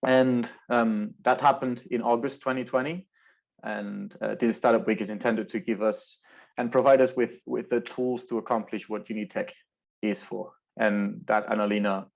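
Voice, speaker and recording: A man's voice: lowish voice, creaky. A young man from Spain with a Spanish accent, giving an earnest online talk and often repeating words as he searches for them. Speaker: alumni speaker. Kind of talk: virtual presentation. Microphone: video call. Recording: dull lo-fi video call audio.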